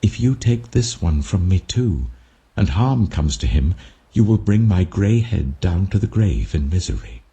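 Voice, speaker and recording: Man voicing deep-voiced humble pleading brother. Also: sing-song, unhurried, mellow, full character voice. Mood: earnest recounting. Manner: earnest, deferential. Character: pleading, humble brother begging before a powerful lord. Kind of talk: dramatic scripture reading.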